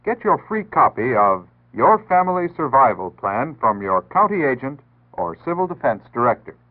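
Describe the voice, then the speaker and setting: A man's voice: medium pitch, very animated, moderate pace. Tinny old 1960s psa guy, american, earnest and instructive, voice moves up and down a lot. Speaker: public service announcer. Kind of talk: 1960s civil defense PSA scripted narration. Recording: tinny band-limited 1960s broadcast audio.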